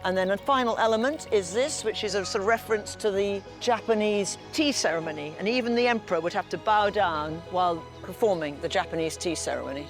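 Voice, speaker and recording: A woman's voice: medium pitch, a little fast, few pauses. A middle-aged woman, chatting politely as she explains things on a guided tour of her estate. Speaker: estate owner giving a tour. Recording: music behind the voice.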